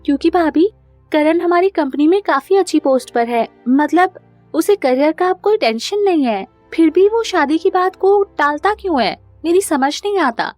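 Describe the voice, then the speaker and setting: A woman's voice: extremely high pitch, expressive, normal speed, darkish tone, soft background music, voice pushed up. A woman voicing an earnest, anxious young woman in love, emotional and pleading, in a cartoon family drama. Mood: worried, pleading.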